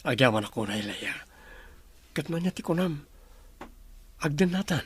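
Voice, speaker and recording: A man playing a gentle, devoted suitor with a husky voice in an Ilocano audio drama. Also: on the high side, animated, normal speed, slight background noise. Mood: sincere, tender.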